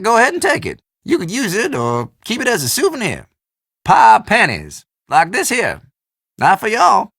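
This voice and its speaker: Game role: chatty old country shopkeeper, high creaky voice, dry recording, teasing and folksy. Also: male voice, pushed and bright, dry recording, exaggerated voice. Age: older. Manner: folksy drawl, colloquial, teasing. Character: folksy, chatty old small-town storekeeper, a man. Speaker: small-town general store keeper. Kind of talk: video game character dialogue.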